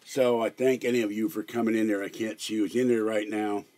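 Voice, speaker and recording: An older man with a hoarse voice, chatting on a live stream from a small room at home, meandering and halting with many fillers. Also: on the low side, fairly even intonation, darkish tone, a little hiss or hum. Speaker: livestreamer. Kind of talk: rambling live stream chat.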